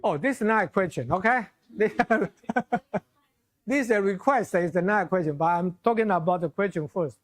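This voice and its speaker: A middle-aged man with a Chinese accent giving informal closing remarks to a class of students, often pausing and restarting his phrases with many fillers. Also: sits high. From China. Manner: halting, restarts phrases, many fillers, asks audience for questions. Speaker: visiting lecturer.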